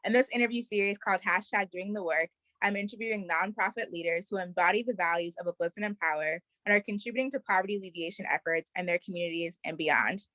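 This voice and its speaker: Fast-talking woman hosting an interview. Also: medium pitch, bright, forceful tone, dry recording, poor audio. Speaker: interviewer and series host. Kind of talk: prepared interview introduction and questions.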